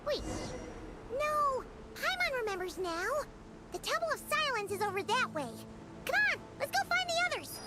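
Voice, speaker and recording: A woman voicing a tiny, excitable childlike fairy companion in an anime game, exclaiming and calling herself by name. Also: very high-pitched, moderate pace, faint music behind, heavily acted. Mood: indignant, eager.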